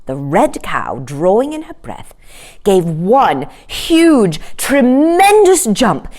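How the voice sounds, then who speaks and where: A woman storyteller narrating a children's story in an expressive read-aloud style. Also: medium pitch.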